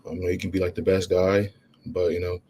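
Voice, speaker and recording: A young man with a deep, low voice talks in a halting, casual way, leaning on "you know" at nearly every turn. Faint ambient noise hums behind him. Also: monotone, warm tone. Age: young adult. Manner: halting, heavy use of "you know" fillers. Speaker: college football player. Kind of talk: casual interview answers.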